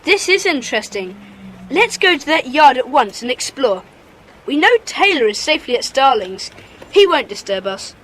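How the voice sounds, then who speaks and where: A woman playing a decisive schoolboy club leader with a brisk, take-charge manner in a children's audio drama. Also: high-pitched, sing-song, a bit bright, a little hiss or hum, rough recording. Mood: excited.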